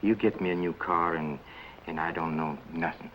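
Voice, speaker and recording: A man in a 1950 film noir playing a sly, oily blackmailing businessman, halting and repeating himself with mock friendliness. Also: low voice, fairly even intonation, a bit bright. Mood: sly, insinuating. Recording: noisy old film soundtrack.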